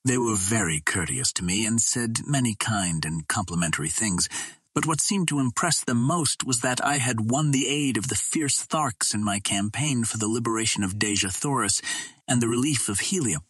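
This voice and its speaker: A man reading a vintage adventure novel as a narrator, in a formal, measured storytelling manner. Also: medium pitch, animated, fairly bright tone, husky, no room sound. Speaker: audiobook narrator.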